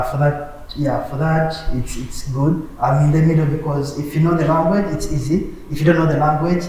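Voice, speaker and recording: A man in his twenties from Uganda with a warm, mellow voice who pauses often on "uh" and "um." He is heard from a distance in a very echoey room with faint hiss behind him. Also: medium pitch. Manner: hesitant, many uh and um. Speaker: panel guest. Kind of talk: casual group discussion.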